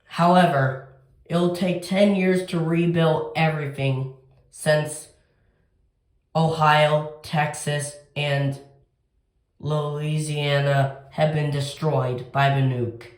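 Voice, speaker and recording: A synthetic announcer reads an emergency broadcast script at a slow pace. The delivery is formal and flat, and the sound is noticeably echoey. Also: medium pitch.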